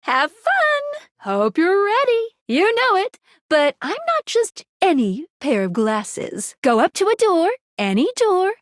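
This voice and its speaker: A woman's voice: extremely high pitch, wildly swooping pitch, slow pace, dry recording, studio quality, exaggerated voice. A woman voicing a perky, quirky pair of talking magic glasses, a bubbly, self-deprecating guide in a video game, with cutesy, jokey delivery. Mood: bubbly, eager. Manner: bubbly, cutesy, jokey with playful baby-talk and asides. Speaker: game guide and tutorial character. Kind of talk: animated video game character voice acting.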